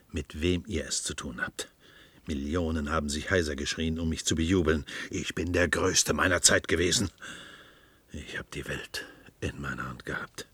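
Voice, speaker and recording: An actor playing an embittered, proud, worn-out entertainer in a German radio play, theatrical and full of wounded pride. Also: male voice, extremely low pitch, fairly bright tone, slightly rough, dry recording, heavily acted. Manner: theatrical, wounded pride, from hissed whispers to screaming.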